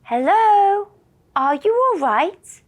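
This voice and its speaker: Woman voicing a sweet, expressive little fairy. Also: very high voice, slow, voice pushed up, full character voice. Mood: concerned, calling out. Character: small good-hearted little fairy, gentle and easily startled. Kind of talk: children's puppet show storytelling.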